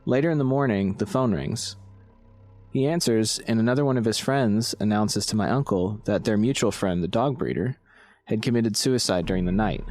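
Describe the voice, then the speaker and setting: A man reads a story from a script as a narrator. His voice is on the low side. Music runs underneath his reading. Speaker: story reader.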